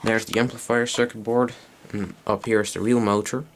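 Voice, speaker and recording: Male hobbyist presenter, lowish gravelly voice, casual and hesitant, unscripted show-and-tell on a home-made recording with slight noise. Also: flat delivery, a little fast. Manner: hesitant, thinking aloud.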